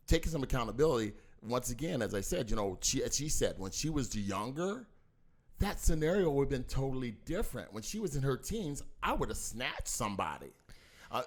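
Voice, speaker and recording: Older guy, dad in a family sit-down, keeps saying once again, pushy and insistent, normal pitch. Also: a little mellow. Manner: emphatic, repeats himself, insists on finishing. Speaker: father in family mediation. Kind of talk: heated family discussion.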